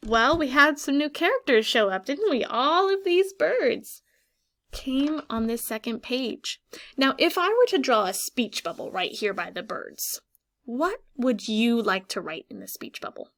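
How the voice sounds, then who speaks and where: A woman with a fairly high voice leads a picture-book read-aloud for young children. She speaks like a teacher, expressively and clearly instructive, drawing out key words and putting questions to her listeners with pauses for them to think. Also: expressive, fairly bright tone.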